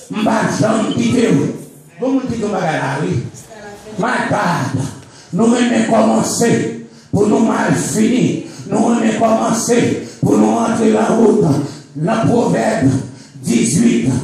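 A man preaching a fervent sermon, shouting in bursts as he walks among the seated congregation. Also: high voice, creaky. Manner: fervent, shouting in bursts, switching to English phrases. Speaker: pastor. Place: church hall. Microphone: handheld mic through the hall's speakers. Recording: very echoey church hall recorded from a distance.

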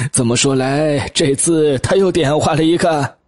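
A man voicing genial, chuckling elderly men in an audiobook, hesitant and unhurried. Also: fairly high pitch, a little mellow, no room sound, clean studio sound. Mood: amused, admiring. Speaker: audiobook voice actor. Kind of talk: acted audiobook dialogue.

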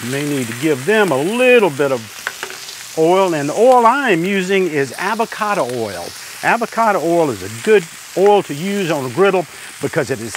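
Older male host, relaxed homespun cooking demo, clip mic, loud sizzling griddle behind. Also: on the high side, a little hiss or hum. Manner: easygoing, homespun, talks while working at the griddle. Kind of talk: step-by-step cooking demonstration. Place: outdoor covered deck by a griddle.

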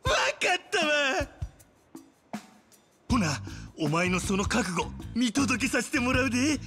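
Unhinged yakuza brawler, Kansai accent, high-pitched, slow taunting drawl, game cutscene, music behind. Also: male voice, very animated, full character voice. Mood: scornful, needling. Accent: Kansai Japanese. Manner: drawling, mocking, erratic swings from low menace to shouts. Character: menacing, manic adult male yakuza brawler, gleefully taunting. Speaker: video game voice actor. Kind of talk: dramatic game cutscene acting. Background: background music.

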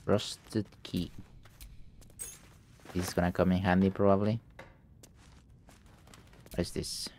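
A young man commentates his gameplay while sick, and the illness drags his voice lower than usual. His tone has a gravelly, fry-laden edge. He reads in-game notes aloud in halting bits, full of fillers, speaking English as a second language. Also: flat delivery, darkish tone, a little hiss or hum. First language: not English. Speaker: gameplay streamer. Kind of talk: let's play commentary.

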